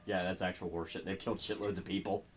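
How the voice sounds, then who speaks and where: A man's voice: sits low, level tone, quick pace, a little hiss or hum. A man co-hosting a game stream, trading laid-back, wry banter. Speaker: stream co-host. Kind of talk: casual game stream chat.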